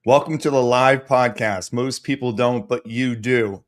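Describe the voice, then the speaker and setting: Man, podcast host, upbeat, conversational, mid-pitched voice. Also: fairly even intonation, measured pace, heavy background noise. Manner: upbeat, reads guest introduction then chats. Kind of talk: conversational interview.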